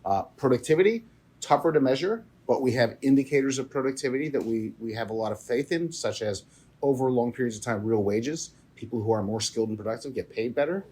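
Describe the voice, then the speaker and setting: A man's voice: mid-range voice, fairly quick, darkish tone, breathy edge. A man answering as the economist guest in an interview, emphatic, often repeating words as he restarts.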